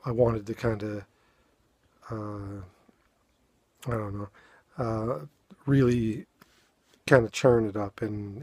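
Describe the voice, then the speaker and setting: Low husky man with a New England accent. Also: darkish tone, dry recording. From Boston. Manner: halting, many fillers and restarts. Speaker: poet. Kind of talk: casual chat and poetry reading.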